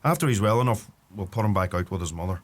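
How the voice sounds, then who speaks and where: An actor playing a young, earthy farmer and youngest son, with a Donegal Irish accent and dry manner, in a radio play. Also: male voice, on the low side, animated, quick pace, slight background noise, close to an everyday voice. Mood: shy. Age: young adult.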